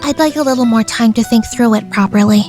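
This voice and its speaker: A woman as a high-voiced, friendly anime senior schoolgirl. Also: quick pace, a little mellow, soft background music, natural voice. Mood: thoughtful. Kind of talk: anime fandub radio play.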